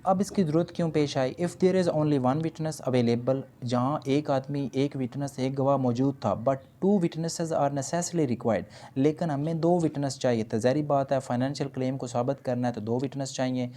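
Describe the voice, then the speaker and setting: A man's voice: mid-range voice, fairly even intonation, normal speed, few pauses, dark tone. A man with a Pakistani accent lecturing didactically, reading out points and then explaining them with repetition, switching between two languages. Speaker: law lecturer.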